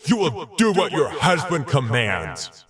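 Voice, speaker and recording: A man's voice: fairly high pitch, big pitch swings, measured pace, a bit bright, a little hiss or hum. A man playing a stout, drunken, lecherous French commander in an audio drama, boastful and bullying. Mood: angry, commanding.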